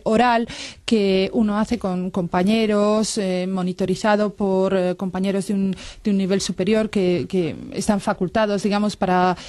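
A woman from a language research group, speaking at a round-table discussion in a measured way with many fillers. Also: mid-range voice, warm tone, no echo. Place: studio table with other guests.

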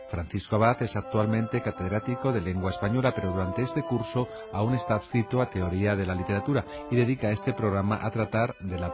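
A man reading a scripted introduction as a radio announcer, formal and measured. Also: fairly low pitch. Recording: dull lo-fi radio recording. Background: loud music.

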